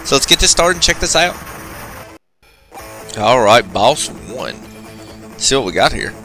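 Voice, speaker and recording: A man's voice: medium pitch, very animated, unhurried, bright, forceful tone. A man hosting a video game channel, giving informal, chatty gameplay commentary with some slang. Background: arcade game music.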